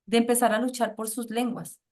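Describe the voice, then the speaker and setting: A woman university professor with a Colombian accent, leading a class discussion in an animated way with frequent rhetorical questions. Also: fairly high pitch, fairly quick.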